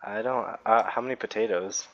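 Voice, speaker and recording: A man's voice: fairly low pitch, fairly quick, highs cut off. A man chatting casually with friends during a game. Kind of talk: casual gaming chat.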